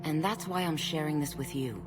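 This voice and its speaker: A woman playing a grave alien diplomat in a video game, speaking in a formal, measured, guarded way. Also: deep voice, over music, close to an everyday voice.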